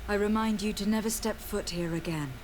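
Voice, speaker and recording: A woman playing a poised, firm young noblewoman envoy in a video game, speaking in a formal, measured way. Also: medium pitch, a little mellow, slight background noise, lightly acted, low-quality recording.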